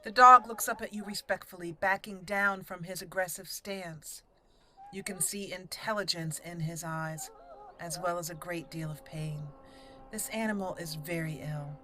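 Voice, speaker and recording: A woman's voice: fairly low pitch, moderate pace, sharp tone. A woman hosting a gaming channel, chatting casually and animatedly as she plays and thinks aloud. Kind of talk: casual game commentary.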